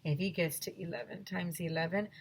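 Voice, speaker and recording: A woman's voice: on the low side, fairly even intonation, a little fast, vocal fry, a little hiss or hum. A woman voicing a dramatic, easily panicked young schoolboy in a children's storybook. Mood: anxious.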